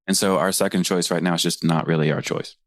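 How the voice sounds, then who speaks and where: A man co-hosting a podcast, chatting in a relaxed, easygoing way. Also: deep voice, fast talker, no echo, clean studio sound. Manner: relaxed, easygoing, lots of fillers. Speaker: podcast co-host. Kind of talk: casual chat.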